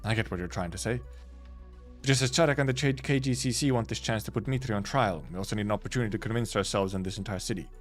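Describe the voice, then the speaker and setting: A man reading a troubled male city official from a game story, slightly low and level in tone. The delivery is formal and measured, with faint music underneath. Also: lightly acted. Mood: earnest. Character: male official weighing hard choices. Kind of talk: live reading of game dialogue.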